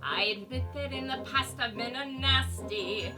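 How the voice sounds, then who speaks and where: A woman's voice: high-pitched, unhurried, far from the mic, music in the background. A woman performing a scheming sea witch in a musical theatre villain song, theatrical and falsely repentant. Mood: sly, mock-humble. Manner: theatrical, mock-pious.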